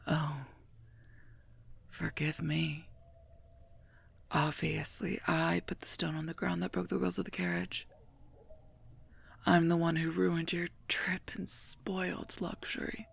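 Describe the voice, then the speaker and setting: A gruff female werewolf knight, played by a woman for an ASMR roleplay. The voice is very husky and breathy, full of heavy gravel. The delivery is dry, curt and mocking, with little melodic movement. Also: low-pitched, level tone, unhurried, long pauses, exaggerated voice. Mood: irritated, sarcastic. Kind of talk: scripted ASMR roleplay, addressed to listener.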